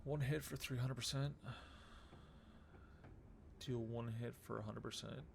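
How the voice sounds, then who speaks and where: A man's voice: medium pitch, fairly even intonation, a little slow, vocal fry, a little hiss or hum. A man giving casual live commentary on a game he is playing, muttering his moves aloud. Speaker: game streamer. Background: game music.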